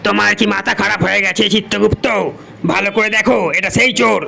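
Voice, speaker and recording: A man voicing a stern, commanding god of death in a cartoon, imperious and scolding with a gravelly voice. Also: sits high, steady tone, quick pace, loud music behind the voice. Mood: stern, impatient. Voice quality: creaky, gravelly.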